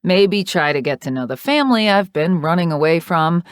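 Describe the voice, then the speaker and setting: A woman voicing a brash, sassy woman back from abroad, speaking brightly and boldly. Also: fairly low pitch, animated, moderate pace, clear, resonant tone, no room sound, studio quality, close to an everyday voice.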